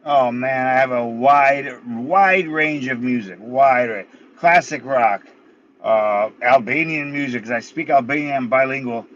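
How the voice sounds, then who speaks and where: A man's voice: mid-range voice, unhurried, fairly bright tone, lo-fi sound, slight background noise. A man in his thirties, a fighter being interviewed, laid-back and blunt, swearing casually. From United States. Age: 30s. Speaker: guest, MMA fighter. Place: in his car.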